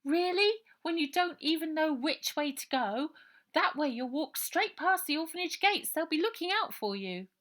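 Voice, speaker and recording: A woman's voice: high voice, expressive, normal speed, fairly bright tone, voice pushed up. A woman voicing an insistent, earnest young girl in a 1930s children's storybook. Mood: bossy. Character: determined, persuasive young girl of 1930s England.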